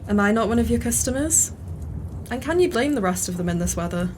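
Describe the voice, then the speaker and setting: An actress playing a teasing, sharp-witted pub regular in an audio play, with a wry manner. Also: female voice, on the high side, lively intonation, fairly quick, slight background noise, natural voice.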